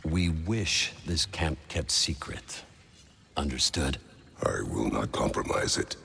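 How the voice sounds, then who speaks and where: Huge terse warrior god for a game, super low slow voice, hall echo. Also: male voice, expressive, vocal fry, fairly bright tone, a little hiss or hum, exaggerated voice. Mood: stern. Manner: terse, formal. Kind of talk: cinematic video game acting.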